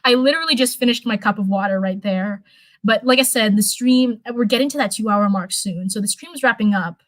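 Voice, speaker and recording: A young woman chatting casually on a livestream, rambling with lots of fillers and restarts, her voice getting hoarse. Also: medium pitch, brisk, fairly bright tone, no room sound. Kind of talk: casual livestream chat, answering viewers.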